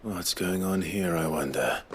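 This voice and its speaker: An actor playing a grave, courteous, battle-worn swordsman in a video game, measured and gravelly. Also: deep voice, slight background noise. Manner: measured, polite. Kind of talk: dramatic video game acting. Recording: music under the voice.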